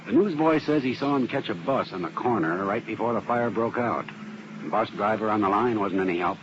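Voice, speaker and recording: Through a dull, noisy vintage radio recording comes an actor as an American fire investigator with a lowish male voice. He is earnest and methodical, laying out facts plainly and surely. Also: brisk, close to an everyday voice. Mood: reporting. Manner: explanatory, plain-spoken, assured. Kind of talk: 1950s radio drama acting. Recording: dull old radio recording with heavy noise.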